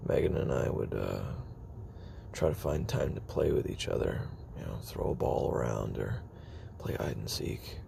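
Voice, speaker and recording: Weary, guarded former hitman, played by a man, audio roleplay confession; heavy vocal fry, halting breathy delivery, clearly noisy tape. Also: rumbling low, warm tone, heavily acted. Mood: haunted, halting. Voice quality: very gravelly, fry-laden, breathy murmur. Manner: halting, hesitant, self-doubting, trailing pauses.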